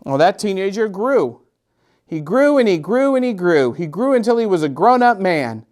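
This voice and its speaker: Man, middle-aged, slightly high voice, children's story reading, gentle sing-song repetition, dramatic emphasis. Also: very animated, moderate pace. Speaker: storytime host and children's author. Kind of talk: expressive picture-book reading for children.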